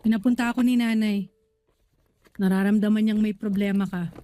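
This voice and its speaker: An actress playing a protective, guilt-ridden older sister in a radio drama, speaking earnestly and pleadingly. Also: female voice, mid-range voice, fairly even intonation, normal speed, mellow, no room sound, soft background music, lightly acted. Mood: concerned. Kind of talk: Tagalog radio drama acting.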